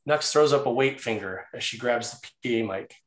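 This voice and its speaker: Male narrator for a table read: mid-pitched, scripted stage directions, echoey room sound. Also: brisk.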